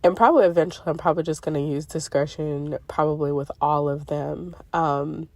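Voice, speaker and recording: A woman's voice: deep voice, fairly quick, a little mellow, no room sound. A middle-aged woman with a congested voice, chatting in a rambling way with lots of fillers about her dreams, talking from a parked car. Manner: chatty, meandering, many fillers and self-corrections. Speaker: spiritual vlogger sharing dreams. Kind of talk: casual rambling monologue.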